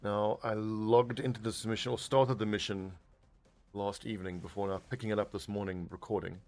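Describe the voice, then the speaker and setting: A man's voice: fairly low pitch, level tone, moderate pace, darkish tone, faint music behind. A man casually commentating his own strategy game play, thinking aloud and swearing now and then. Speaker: gaming video host.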